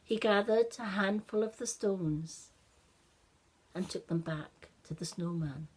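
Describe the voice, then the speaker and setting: Lady reading a kids story, really slow and expressive, bit breathy, big pauses. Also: mid-range voice, poor audio. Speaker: children's storyteller. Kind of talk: expressive children's story narration.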